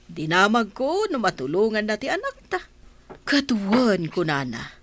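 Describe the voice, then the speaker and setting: A woman's voice: medium pitch, wildly swooping pitch, normal speed, slight background noise. A woman acting a concerned family matriarch in an Ilocano radio comedy drama. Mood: worried. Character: concerned, slow-spoken mother and grandmother.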